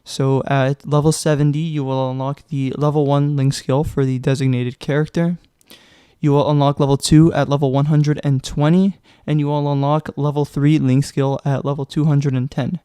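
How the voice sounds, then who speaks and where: A man's voice: on the high side, little pitch movement, moderate pace, warm tone, clean tone, no room sound, studio quality. A young man narrating a casual game tutorial, chatty and joking, with loose fillers, a little sick. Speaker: gaming guide creator.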